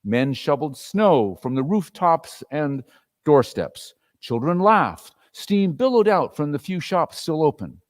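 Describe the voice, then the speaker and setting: A man's voice: a little high, sing-song, measured pace, long reverb tail. A man narrating a classic story as a dramatic reader.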